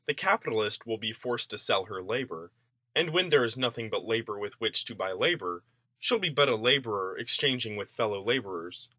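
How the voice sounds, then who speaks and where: A man reading a political essay aloud as scripted narration, in an emphatic, declarative manner with measured phrasing. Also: mid-range voice, pushed and bright, no echo.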